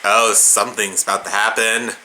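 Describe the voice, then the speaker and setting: A woman's voice: a bass voice, little pitch movement, moderate pace, background noise. A woman hosting a reaction video, giving casual commentary with jokey, theatrical exclamations. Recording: commentary over drama audio and music.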